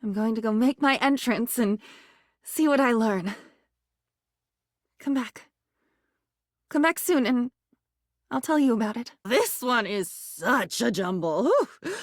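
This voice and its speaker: A living diary from a video game, young-sounding and wistful yet excitable. The voice is high and leaps around expressively, rambling in theatrical, neurotic swings. It is recorded close and dry. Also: female voice, dry recording. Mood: tender, grateful, then anxious, flustered. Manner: theatrical, rambling, swinging from hushed wonder to giddy exclamation. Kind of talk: video game character acting.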